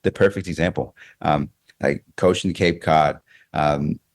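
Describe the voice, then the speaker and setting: American man, extremely deep pitch, dry sound, reflective interview talk, halting and repetitive phrasing. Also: steady tone, no echo. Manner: halting, repeats phrases as he thinks aloud. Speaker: baseball coach interviewed as podcast guest.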